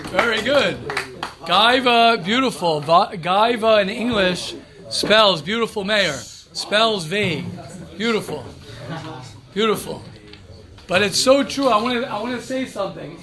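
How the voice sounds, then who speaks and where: A man's voice: sits high, fairly bright tone. A rabbi giving an informal talk to yeshiva students, emphatic and repeating key phrases, often asking and answering his own questions. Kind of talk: informal Torah talk to a class.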